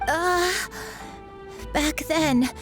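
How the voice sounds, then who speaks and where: A woman's voice: high-pitched, extremely slow, over music. A woman voicing an earnest, worried little granddaughter in a video game.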